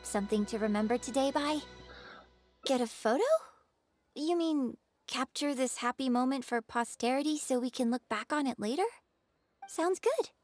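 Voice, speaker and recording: A woman's voice: high-pitched, moderate pace, a little mellow, no room sound, soft background music, natural voice. A woman playing a poised young stage performer in a video game, friendly and playfully dramatic, speaking in a composed, articulate, theatrical way. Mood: friendly, upbeat.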